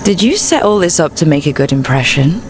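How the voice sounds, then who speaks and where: A woman's voice: low voice, very animated, fairly quick, background noise. A woman voicing a wry, friendly young adventurer in a fantasy video game, in a composed conversational manner. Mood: playful. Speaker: player character in a fantasy game. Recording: music behind the voice.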